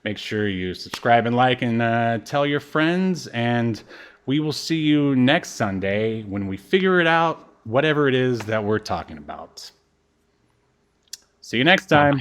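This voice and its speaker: A man's voice: mid-range voice, very animated, measured pace, a bit bright, smooth. Casual, joking male podcast host.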